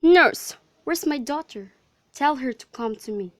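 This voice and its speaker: A woman's voice: high-pitched, expressive, a little slow, no room sound, clean studio sound. A woman playing an impatient, commanding noble mother in an amateur radio drama.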